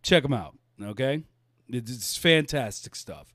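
A man's voice: mid-range voice, animated, measured pace, a bit bright, no room sound, clean studio sound. A man hosting a casual live-stream chat, laid-back, laughing often and repeating his words. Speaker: podcast host.

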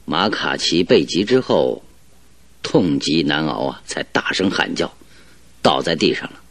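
A man's voice: very deep voice, big pitch swings, a little slow, heavily acted. A man acting in a radio drama as a confident, teasing master detective, with a theatrical, self-assured delivery. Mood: assured, explaining.

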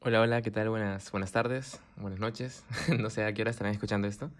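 A young man, a podcast guest chatting in a relaxed way with lots of fillers and restarts. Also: fairly low pitch, fast talker. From Japan. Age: young adult. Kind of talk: casual chat.

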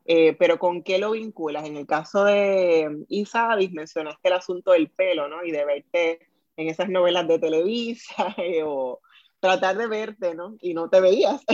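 A woman's voice: on the low side, a little mellow, no room sound. Host: Puerto Rican woman, reflective interviewer, dry close sound, a bit muffled. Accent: Puerto Rican Spanish. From Puerto Rico. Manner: thoughtful, frequent tag questions and fillers. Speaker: podcast host. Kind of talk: conversational interview.

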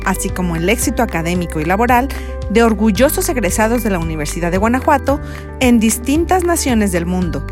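A woman radio presenter with a Mexican accent reads a scripted introduction in a measured, formal way. Her voice sits slightly high. Clear background music runs under her words. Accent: Mexican Spanish. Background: music bed.

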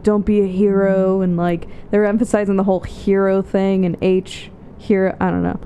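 A woman's voice: medium pitch, level tone, normal speed, mellow, slight background noise. A woman co-hosting a podcast, thinking aloud about a film in a casual chat and laughing often. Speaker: podcast co-host.

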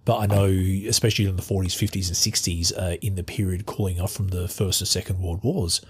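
Very husky deep-voiced Australian man. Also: steady tone. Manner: halting, many restarts and fillers while searching for words. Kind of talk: casual conversational interview.

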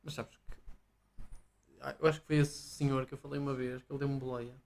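A young man chatting casually as a podcast co-host, agreeable and quick with jokes. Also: mid-range voice, level tone, vocal fry, darkish tone. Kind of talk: casual chat.

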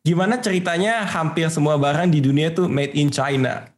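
A man's voice: a little high, moderate pace. A man with an Indonesian accent trading casual comic banter on a panel, playful and mock-evasive. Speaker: podcast panelist.

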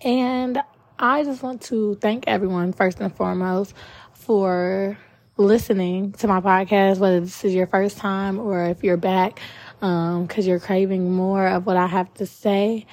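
A young woman in her twenties with a raspy voice, just up from a nap, hosting her own podcast in a chatty, rambling monologue with many ums. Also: medium pitch, fairly even intonation, measured pace, a little mellow. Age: 20s. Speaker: podcast host. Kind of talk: casual solo podcast monologue.

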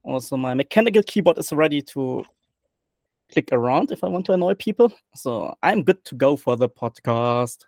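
A man's voice: mid-range voice, lively intonation, moderate pace, a little mellow, dry recording. Man, German accent, podcast guest, jokey, laughs often, repeats words, dry close sound. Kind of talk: casual chat.

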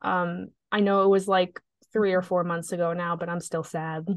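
A woman's voice: on the low side, level tone, normal speed, dry recording. A woman co-hosting a podcast in casual, informal chat, a bit sniffly. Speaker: podcast co-host.

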